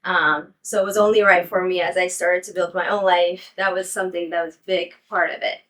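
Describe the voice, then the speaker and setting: Young woman, mid-pitched voice, relaxed casual talk, frequent ums, some lines from farther off. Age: young adult. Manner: relaxed, many ums and soft laughs. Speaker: interviewee, English teacher. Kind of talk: casual interview and home tour. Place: apartment building, walking around.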